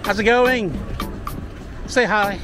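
A man's voice: sits high, slow pace, music in the background. A man chatting casually and slangily to viewers and passers-by as a friendly vlogger outdoors. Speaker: vlogger and street interviewer. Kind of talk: casual vlog chat and street interviews. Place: outdoors at a busy beach promenade.

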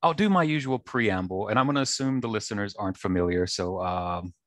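A man with a low voice and a faint Southern American twang explains research patiently, lecture-style, with plenty of ums. He talks into a headset mic on a video call, and the sound is dry and close with no room echo. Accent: light Southern American. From North Carolina, USA. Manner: measured, lecturing, with frequent ums. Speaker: researcher guest. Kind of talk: explanatory interview talk. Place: office with bookshelves and whiteboard.

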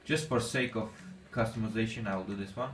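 Armenian man in a roomy, echoey space. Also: on the low side. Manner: halting, non-native English with many fillers. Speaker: gaming channel host. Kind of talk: casual gameplay commentary. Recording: echoey room, distant mic, faint noise.

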